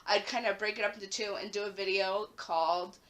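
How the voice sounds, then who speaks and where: A young woman vlogging casually to her camera at home, her voice raspy and a little hoarse after the flu, chatting with plenty of "like" fillers. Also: mid-range voice, steady tone, brisk, heavy background noise. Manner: chatty, lots of "like" fillers, rising emphasis.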